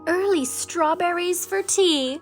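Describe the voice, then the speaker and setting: A woman playing a tender, fanciful ageing spinster with an expressive manner in a dramatised audiobook. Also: very high voice, slow, faint music behind, exaggerated voice. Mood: delighted. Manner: wistful, expressive.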